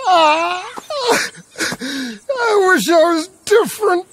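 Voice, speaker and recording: Very high-pitched, maudlin drunk. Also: male voice, lively intonation, extremely slow, a bit bright, full character voice. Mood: tearful, remorseful. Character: maudlin, erratic drunken man of the Old West.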